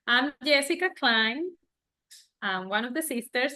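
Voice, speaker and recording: Woman, Venezuelan accent, native Spanish speaker, high bright voice, casual storytelling, interview guest. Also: animated, measured pace. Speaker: guest, bakery owner.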